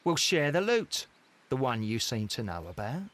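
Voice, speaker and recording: A man's voice: medium pitch, sing-song, normal speed, a bit bright. An actor playing a suspicious, bossy criminal gang leader in a video game, curt and menacing as he gives orders. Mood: bossy, conspiratorial. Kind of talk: scripted video game acting.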